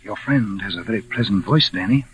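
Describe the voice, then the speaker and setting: An actor playing a kindly, courteous blind pianist in a 1940s radio drama, gentle and measured in delivery. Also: male voice, lowish voice, lightly acted. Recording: muffled vintage radio recording.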